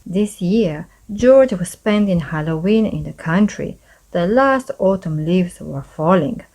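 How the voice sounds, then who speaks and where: A woman reading a children's story aloud in a measured, expressive storytelling manner. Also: lowish voice, rough recording. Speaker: storybook reader. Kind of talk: children's read-aloud narration.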